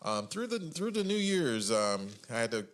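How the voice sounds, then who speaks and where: A man's voice: medium pitch, big pitch swings, moderate pace, a bit bright. A man co-hosting a comedy podcast who talks in a playful, chatty way. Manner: playful, chatty, full of fillers and restarts. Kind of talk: casual comedy podcast chat.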